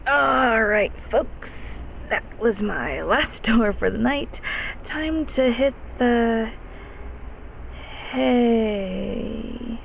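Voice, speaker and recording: Gentle, nervous monster from the closet, female performer, high creaky voice, stammering and apologetic, intimate audio roleplay. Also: lively intonation, extremely slow, a little hiss or hum, heavily acted. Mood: showy, pleased. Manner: flustered, stammering, repeating words. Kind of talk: audio roleplay acting, spoken to the listener.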